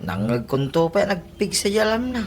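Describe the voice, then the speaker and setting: A woman's voice: on the low side, very animated, moderate pace. A woman with an Ilocano accent playing an exasperated, complaining cousin in a comedy radio drama. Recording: clear background noise.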